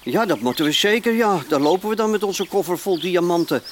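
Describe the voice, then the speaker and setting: High-voiced crook's sidekick. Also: male voice, animated, a little fast, slight background noise. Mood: grumbling. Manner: slangy, colloquial. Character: cheeky male criminal sidekick on the run. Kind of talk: Dutch radio play acting.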